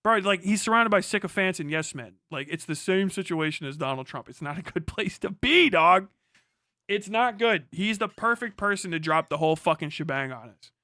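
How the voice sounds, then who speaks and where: A man's voice: high voice, animated, moderate pace, sharp tone, dry recording, studio quality. A man hosting a casual livestream, giving blunt, profane, slangy political commentary and talking back to chat. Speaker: livestream host.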